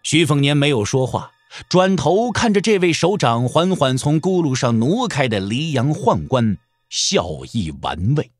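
A man's voice: fairly high pitch, very animated, a little slow, no room sound. A man narrating a martial-arts audio drama in a scripted, dramatic storytelling style. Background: background music.

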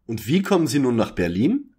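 A man's voice: mid-range voice, sing-song, normal speed, dark tone, breathy edge. A man playing a stiff, exasperated young detective in an audio drama parody.

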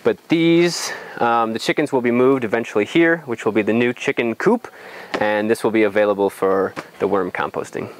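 A man's voice: mid-range voice, normal speed, slight background noise. A young adult man explains things in an informal outdoor tour, thinking aloud with some hesitation, on a lapel mic. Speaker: farm guide. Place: outdoors on a farm.

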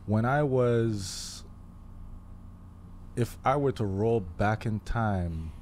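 A man in his twenties from Haiti, telling his story as a guest, thoughtful and pausing often with fillers. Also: sits low, mellow, slight background noise. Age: 20s. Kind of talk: casual interview. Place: living room podcast set. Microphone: studio mic on boom arm, headphones.

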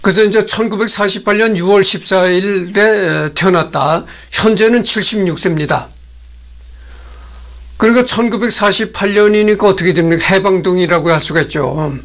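A man in his sixties hosting a solo talk from a small room, speaking slowly and haltingly with many fillers while reading notes from a screen. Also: sits high, fairly bright tone. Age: 60s. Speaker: host. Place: small indoor room at a desk.

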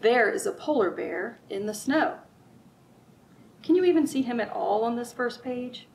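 A woman's voice: a little high, animated, measured pace, gravelly, darkish tone. A middle-aged woman hosting a children's storytime, reading and chatting to young listeners with simple wording. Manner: asks the listener frequent simple questions. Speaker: children's librarian and storytime host. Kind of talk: children's storytime read-aloud and chat. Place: library room with bookshelves. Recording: distant mic, audible room noise.

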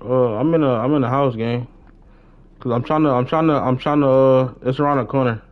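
A man's voice: medium pitch, steady tone, fairly quick. A young American man chatting casually as he live-streams a game, talking in slang and repeating short phrases. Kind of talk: casual chat while gaming. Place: indoors, gaming livestream setup with webcam. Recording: slightly dull, muffled mic.

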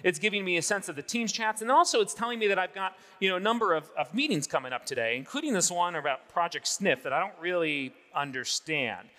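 A man's voice: sits high, lively intonation, quick pace, few pauses, sharp tone. A man in his forties, an enthusiastic presenter giving a live product demo on a large conference stage.

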